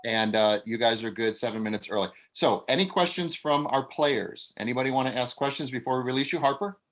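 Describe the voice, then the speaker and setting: A man's voice: medium pitch, fairly quick, a bit bright. A man speaking as an acting coach and director, leading a casual online group meeting in an encouraging, directing way. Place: online video call.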